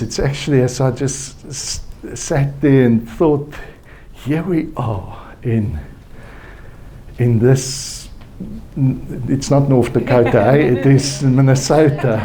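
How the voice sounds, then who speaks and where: A man from South Africa, native Afrikaans speaker with a South African accent, telling a personal story at a conference in a halting, reflective way. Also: medium pitch, dark tone. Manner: slow, halting, reflective with frequent restarts. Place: conference hall.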